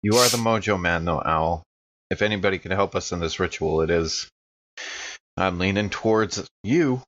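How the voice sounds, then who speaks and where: Man playing a low-voiced, earnest, sober fellow. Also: normal speed, a bit bright, highs cut off.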